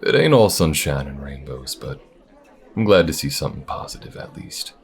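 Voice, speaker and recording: A man voicing an easygoing, wry alien bounty hunter with folksy, unhurried phrasing in an audio roleplay. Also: very deep voice, very animated, gravelly, full character voice. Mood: warm, steady. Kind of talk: audio roleplay addressed to the listener. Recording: restaurant chatter behind. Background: restaurant crowd ambience.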